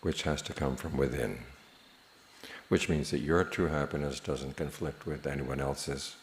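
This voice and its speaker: Very deep-voiced man guiding meditation. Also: fairly even intonation, a little hiss or hum. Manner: measured, plain-spoken, with long pauses. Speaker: meditation teacher. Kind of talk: guided meditation instruction.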